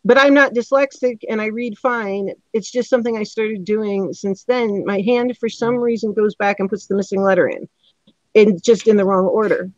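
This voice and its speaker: A woman's voice: mid-range voice, fairly even intonation, normal speed, darkish tone, no room sound, lo-fi sound. A woman chatting casually and expressively as host of a live online discussion, rambling a little as she answers comments. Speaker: host of a panel discussion show. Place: indoors, online live stream.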